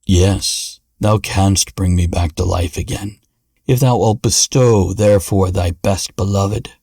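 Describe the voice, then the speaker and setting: A man's voice: deep voice, fairly even intonation, takes it slowly, vocal fry, dark tone, slightly rough, dry recording, clean studio sound, pitched below the performer's own voice, exaggerated voice. A man voicing an enchanted stone statue in a fairy tale, grave, solemn and archaic in speech.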